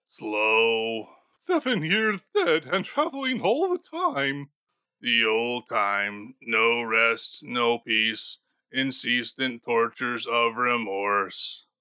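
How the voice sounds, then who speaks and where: A man voicing a doomed, grieving male ghost bound in chains, speaking slowly and gravely for an audiobook. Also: mid-range voice, very animated, sharp tone, no room sound, exaggerated voice. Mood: mournful. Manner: slow, solemn and grave. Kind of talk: audiobook character voice.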